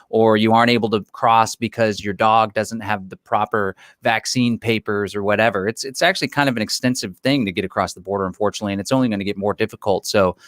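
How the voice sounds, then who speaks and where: A man's voice: fairly low pitch, a bit bright, no echo, studio quality. A man hosting a live-stream interview, explaining his opinions at length. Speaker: interview host.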